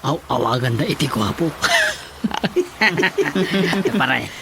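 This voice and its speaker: An actor playing a jeering, excitable drinking buddy who repeats himself and exclaims in an Ilocano radio comedy. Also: male voice, mid-range voice, slow pace, gravelly, noisy background. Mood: teasing. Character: teasing male drinking buddy, cackling and excitable.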